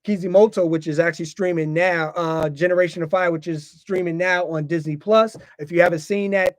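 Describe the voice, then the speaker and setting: A man's voice: high-pitched, no echo. An American man talking casually as a podcast host, informal and repetitive, often tagging his phrases with "you know". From United States. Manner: informal, frequently repeats himself and tags phrases with "you know".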